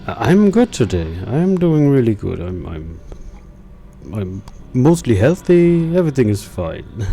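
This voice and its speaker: Slow-talking man hosting a live stream, effusive. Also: medium pitch, sing-song, mellow, slight background noise. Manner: effusive, exclamatory greetings. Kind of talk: casual live-stream chat, greeting raiders.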